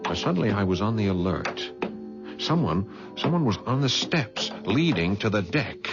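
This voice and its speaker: An actor playing a private detective who narrates his own story in a 1970s radio drama, dry and measured. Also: on the low side, sing-song. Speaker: narrator and lead. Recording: muffled 1970s radio broadcast with music.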